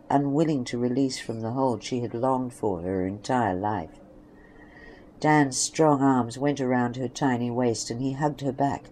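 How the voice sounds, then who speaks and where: A male narrator reads a scripted story. His pitch is average for a man, and a light layer of background noise sits under the voice. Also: a little mellow, low-quality recording.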